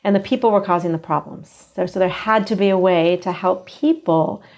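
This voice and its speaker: A woman's voice: medium pitch, a little mellow, muffled recording. A middle-aged American woman with an American accent, a physician giving a reflective interview answer with frequent ums. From United States. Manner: measured, reflective, with frequent fillers. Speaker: guest, physician.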